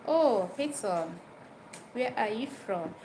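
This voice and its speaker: A woman's voice: a little high, expressive, slow pace, warm tone. A woman with a Nigerian accent hosting an informal livestream, repeating her phrases and putting questions directly to listeners as she explains and advises. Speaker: livestream host giving dental health advice. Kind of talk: informal livestream talk, chatting with viewers. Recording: noisy live stream with background ambience.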